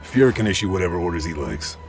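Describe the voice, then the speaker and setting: A stoic Allied sniper officer from a war game, speaking in an extremely low voice. He is terse and deadpan, every line stripped to business, with prominent music underneath. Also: quick pace, creaky, exaggerated voice. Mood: stoic, businesslike. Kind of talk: video game acting, scripted narration and dialogue.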